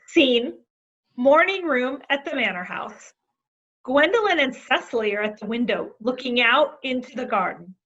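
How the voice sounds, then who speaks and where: A woman's voice: high voice, expressive, normal speed, fairly bright tone. A woman reading stage directions as a scripted narrator for a play, on a lo-fi recording. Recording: dull, lo-fi sound.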